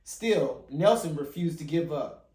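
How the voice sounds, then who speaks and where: A man with a fairly high-pitched voice reads a storybook aloud for children. He sometimes trips on a word and repeats the phrase. The recording is echoey, as in a bare room at home. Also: animated, a little slow, dark tone. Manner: stumbles and rereads phrases as he reads. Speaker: father hosting a children's read-along channel. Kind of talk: reading a picture book aloud.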